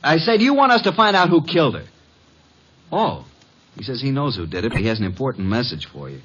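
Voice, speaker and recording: An actor playing a breezy, wry detective's assistant and narrator in an old American radio mystery. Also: male voice, mid-range voice, sing-song, quick pace, a bit bright, slightly rough. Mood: insistent. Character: wisecracking, eager male detective's assistant and narrator. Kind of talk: 1940s-50s American radio acting. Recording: noisy, dull old radio recording.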